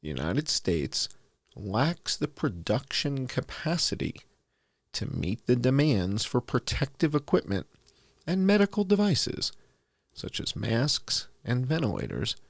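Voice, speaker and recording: A man hosting a commentary, reading documents aloud in a deliberate, announcer-like way with dry, sardonic asides. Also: medium pitch, very animated, creaky, no echo. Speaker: host presenting and reading documents.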